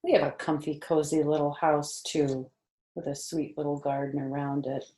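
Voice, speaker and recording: A woman's voice: low-pitched, flat delivery, warm tone, roomy sound. An older woman reading a picture book to children in a grandmotherly, chatty way, with casual asides to her listeners. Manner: grandmotherly, chatty, asking listeners questions and pausing on phrases. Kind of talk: animated read-aloud with casual asides.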